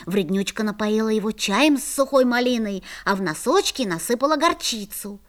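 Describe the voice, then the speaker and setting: A woman narrating a children's fairy-tale audio play in an expressive storybook style. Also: fairly high pitch, moderate pace.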